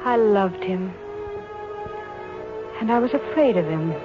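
An actress playing an earnest mother remembering a troubled love, in intimate, halting 1940s radio drama style. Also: medium pitch, expressive. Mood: anguished. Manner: wistful, halting, emotional delivery with dramatic repetition. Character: earnest mother recalling her troubled love for a hard man. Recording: dull 1940s radio recording, music behind.

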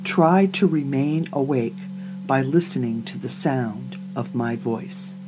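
A woman leading a guided meditation as a yoga nidra teacher, speaking calmly and soothingly, with deliberate pauses between short phrases. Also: extremely low pitch, rough recording. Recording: voice over prominent meditation music.

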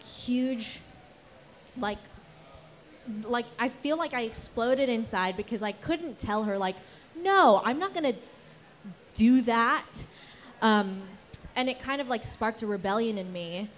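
A woman's voice: on the high side, takes it slowly. A young woman who grew up in the Philippines, Singapore and Guam, telling personal stories in an earnest, rambling way as a panelist with a handheld microphone. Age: young adult. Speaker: panelist, theater actor. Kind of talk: casual personal storytelling on a panel. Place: indoor event space with brick wall. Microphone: handheld event microphone.

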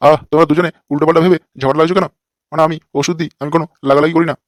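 A man's voice: a little high, steady tone, rapid-fire, no room sound, clean studio sound, natural voice. A man voicing a crude, lecherous fake holy man in a comic cartoon, with a brash, scolding manner. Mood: self-righteous.

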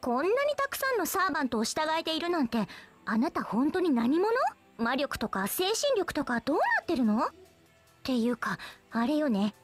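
High-pitched, teasing anime girl archer. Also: very animated, normal speed, soft background music. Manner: coy, teasing, theatrical. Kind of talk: Japanese anime game voice acting.

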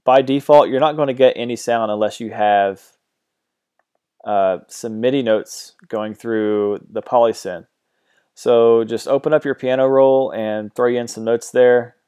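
A man talking through a software tutorial in a relaxed, hesitant way, with many ums and uhs as he describes settings. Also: medium pitch, measured pace, clear, resonant tone. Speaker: music production tutor. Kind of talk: screen-recorded software tutorial.

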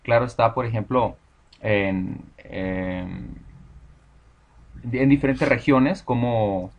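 Thoughtful Mexican man, halting speech. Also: medium pitch, gravelly. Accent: Mexican Spanish. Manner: hesitant, restarts words, thoughtful. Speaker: interviewee. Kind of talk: casual conversation.

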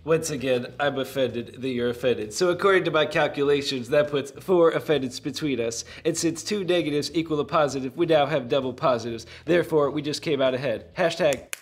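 A man's voice: on the high side, brisk, hardly any pauses, close to an everyday voice. An actor playing an earnest, know-it-all nerdy young man in a comedy film, with formal, over-precise diction. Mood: smug, pedantic. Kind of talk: scripted comedy film acting.

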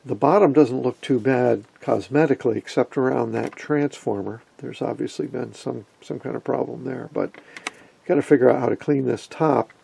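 A man giving a casual, colloquial technical explanation as host of a repair video, talking haltingly. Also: mid-range voice, creaky, mellow, slightly rough.

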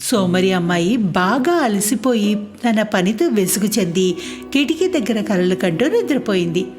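Lively woman narrating a children's story. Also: medium pitch, unhurried, faint music behind.

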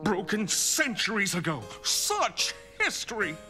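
A man's voice: high-pitched, wildly swooping pitch, unhurried, over music, heavily acted. A man voicing a pompous, wealthy middle-aged father in a children's cartoon, grandly showing off. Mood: proud. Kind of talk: animated children's cartoon acting.

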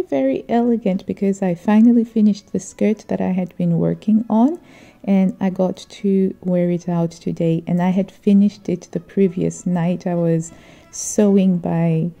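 Woman, middle-aged, very warm dark tone, creaky, measured reflective vlog narration. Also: lowish voice. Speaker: vlogger.

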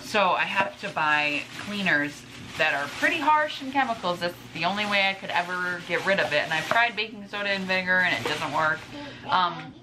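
A woman in her twenties chatting casually to camera at home as a vlogger, rambling informally and drifting into asides. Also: on the low side. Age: 20s. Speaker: vlogger, stay-at-home mother. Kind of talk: casual chat to camera, showing groceries. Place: indoors in a home kitchen. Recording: clearly noisy home recording with room ambience.

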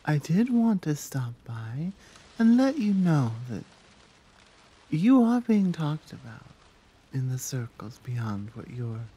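A woman playing a caring, earnest tigress monk in a soft-spoken ASMR roleplay, with a breathy, measured, confiding delivery. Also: low-pitched, full character voice.

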